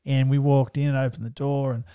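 A man's voice: medium pitch, flat delivery, brisk, mellow, smooth, dry recording. A young Australian man telling stories in casual podcast chat, laid-back and swearing freely. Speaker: podcast host.

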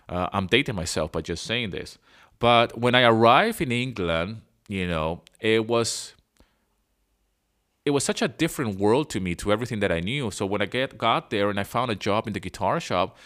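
A man's voice: lowish voice, fairly bright tone. A man in his forties from Venezuela, hosting a casual solo podcast. He tells his story in a rambling way and often checks in with the listener. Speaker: podcast host.

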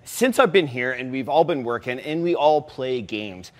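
A man chatting casually on a panel, telling stories in an animated, sweary way. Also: medium pitch, normal speed. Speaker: podcast panelist and game organizer. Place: round-table recording with other panelists.

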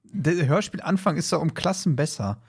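A man's voice: a little high, normal speed, slightly rough. A man co-hosting a podcast in casual chat, giving opinions in a blunt, emphatic, colloquial way. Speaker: podcast co-host.

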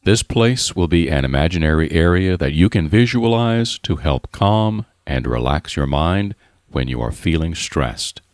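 A man narrating a scripted guided relaxation in a calm, soothing, deliberate manner with pauses. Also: low voice, dry recording, studio quality.

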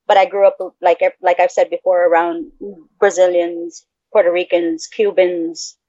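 A Mexican American woman from the Texas border co-hosting a casual podcast, excitable, laughing easily and swearing freely. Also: lowish voice, moderate pace, no room sound, highs cut off. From Texas border region, USA. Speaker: podcast co-host. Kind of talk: casual chat.

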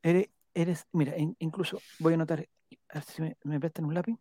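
A live stream host with a Chilean accent talks casually in a dry, close sound. The delivery is playful and rambling, with viewer comments read aloud and names repeated slowly back. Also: mid-range voice, fairly even intonation, mellow, husky, dry recording. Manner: rambling, repeats names while jotting them down; playful, joking.

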